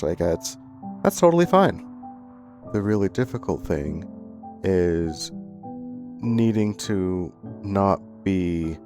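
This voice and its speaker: Low-voiced earnest young man. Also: a little mellow. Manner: earnest, thoughtful, with pauses and restarts. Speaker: content creator. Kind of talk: reflective storytime monologue. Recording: faint background music.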